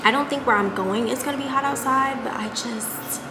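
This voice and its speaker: A young woman vlogging to her viewers in a casual, chatty, upbeat way, with a soft, girlish voice. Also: high-pitched, fairly quick. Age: young adult. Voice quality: says she has a baby voice. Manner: chatty and upbeat, many fillers like "like". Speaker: lifestyle vlogger. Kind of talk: casual vlog chat to camera. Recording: echoey room with loud background noise.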